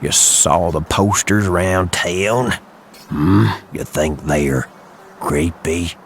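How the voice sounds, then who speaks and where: Ominous small-town local: extremely deep, dragging delivery, guarded and foreboding, for an animated game. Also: male voice, takes it slowly, a little hiss or hum. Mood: quietly menacing. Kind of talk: animated game cutscene acting.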